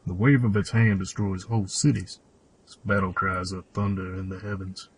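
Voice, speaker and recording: A man's voice: deep voice, fairly even intonation, normal speed, slightly rough. A man playing a cryptic old cave hermit and mechanic in an audio drama, with a solemn, old-fashioned storyteller's delivery. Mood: awed, grave. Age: older. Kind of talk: fan audio drama acting.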